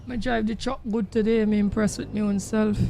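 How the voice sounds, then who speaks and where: A Jamaican man streams casually over some engine noise. His voice sits high for a man yet is full of gravel, and he talks straight to viewers, repeating himself for emphasis. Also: little pitch movement, fairly quick. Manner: emphatic, repetitive, addresses viewers directly. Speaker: game livestreamer. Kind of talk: casual livestream chat while driving a truck sim. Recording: noisy, engine rumble behind voice. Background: truck engine sounds from the game.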